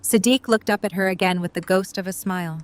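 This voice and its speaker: A woman narrating a fan audio drama in a calm, even storytelling tone. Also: medium pitch, a little fast. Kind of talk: scripted narration.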